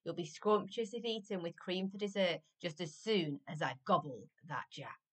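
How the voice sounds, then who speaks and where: Woman putting on a grumpy, hungry storybook giant, booming and emphatic. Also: normal speed, fairly bright tone, slightly rough. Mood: menacing, hungry. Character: grumpy, gobbling male giant who softens when praised.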